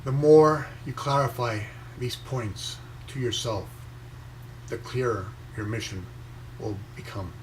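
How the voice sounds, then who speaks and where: A man raised in Venezuela speaks in a slow, measured, reflective way, breaking his sentences into short phrases with pauses. His voice sits at a middle pitch, with a faint hiss of background noise. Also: animated. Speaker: inspirational speaker reading his own post. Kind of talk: reflective motivational reading and commentary.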